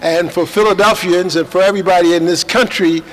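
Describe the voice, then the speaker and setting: A man's voice: sits high, fairly even intonation, brisk. A man acting as emcee at a reception, giving a rambling, folksy introduction. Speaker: event emcee. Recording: echoey hall with faint background.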